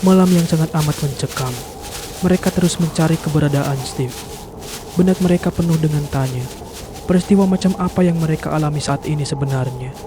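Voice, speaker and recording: A man narrates a horror tale in a fairly high voice for a male. His delivery is solemn and dramatic, and there is clear background noise behind him. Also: brisk. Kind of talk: scripted horror story narration. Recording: noisy background ambience.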